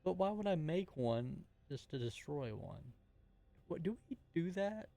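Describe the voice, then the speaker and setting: Mellow, creaky man playing a tabletop game. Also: fairly high pitch, expressive, unhurried. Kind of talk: casual in-character table talk and narration.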